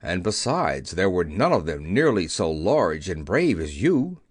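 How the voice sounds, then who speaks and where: A man's voice: deep voice, very animated, measured pace, no room sound, clean studio sound, voice pushed down, heavily acted. A man voicing a respectful, fearful tiger who speaks for the forest beasts in a children's storybook, grave and deferential.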